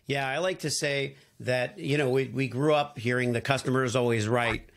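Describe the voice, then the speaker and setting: A man hosting a podcast, talking in a relaxed, conversational way as he introduces and questions his guest. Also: medium pitch, moderate pace. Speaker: podcast host. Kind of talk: casual interview talk.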